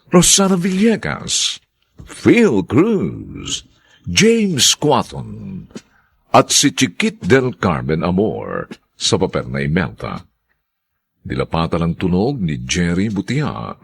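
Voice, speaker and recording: A Filipino man announces a radio drama with a deep, creaky voice. His delivery swings widely in pitch and unrolls slowly, with theatrical weight on each name.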